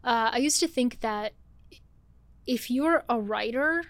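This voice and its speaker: A woman's voice: fairly high pitch, no room sound. A woman from Bulgaria, in her thirties, talking animatedly as a guest author in a casual podcast conversation. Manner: animated, fast-talking, laughs often.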